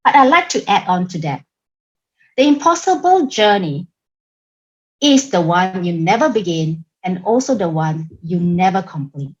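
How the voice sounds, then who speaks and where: A woman with a Singaporean English accent delivering a prepared club speech over a video call, in a deliberate, emphatic manner. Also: on the low side, animated, muffled recording. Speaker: club member giving a speech.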